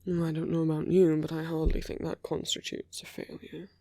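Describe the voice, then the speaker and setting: Performer as a sly, creaky-voiced seductive villain. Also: medium pitch, flat delivery, normal speed, mellow, no echo, clean studio sound, lightly acted. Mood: intimate, coaxing. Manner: intimate, teasing, drawling, often dropping to whispers. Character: seductive, teasing, smug villain, sly and flirtatious. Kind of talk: ASMR romantic roleplay audio drama.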